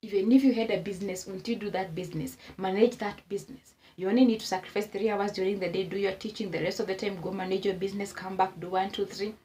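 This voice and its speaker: A woman's voice: medium pitch, fairly even intonation, quick pace, few pauses, dark tone, slight background noise. A Kenyan woman vlogging casual advice to camera, repeating her points for emphasis and exclaiming as she goes.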